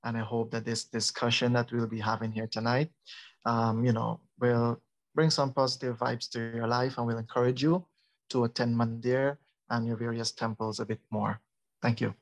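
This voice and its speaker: A man with a Guyanese accent, speaking as a priest on a panel in a measured, earnest, conversational way. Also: medium pitch, flat delivery.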